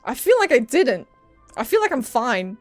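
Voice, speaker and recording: High-voiced young woman streaming a game. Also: big pitch swings, moderate pace, soft background music. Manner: playful, slangy, reactive. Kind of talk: casual live gameplay reaction.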